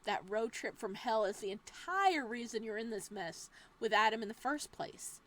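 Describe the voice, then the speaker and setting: A woman's voice: a little high, normal speed. A woman reading a horror visual novel aloud, breaking off for playful, joking asides. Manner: playful asides between narration. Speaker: game streamer.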